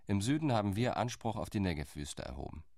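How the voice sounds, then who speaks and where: A man's voice: fairly low pitch, big pitch swings, fast talker, darkish tone, no echo, studio quality. A man playing a determined partition lobbyist. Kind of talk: radio play monologue.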